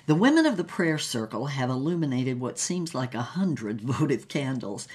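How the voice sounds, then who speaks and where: An actress playing a giddy, dreamy woman adventurer, telling her psychedelic tale in dramatic, expressive first person. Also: a bass voice, normal speed, husky, exaggerated voice. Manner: theatrical, expressive. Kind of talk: dramatic first-person narration with poetic recitation.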